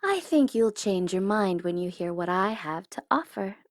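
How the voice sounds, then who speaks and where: Female supervillain , acted by a woman, ASMR roleplay. Teasing menace with a secret soft spot, deliberate slow delivery, close dry sound. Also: medium pitch, animated, no room sound, close to an everyday voice. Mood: sly, persuasive. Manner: taunting, coy, commanding, with drawn-out deliberate phrasing.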